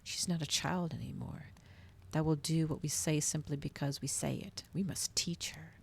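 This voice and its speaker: A woman voicing a haughty, hot-tempered male vampire in a breathy, hissed ASMR storybook reading. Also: sits low, steady tone, normal speed, full character voice. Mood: imperious anger.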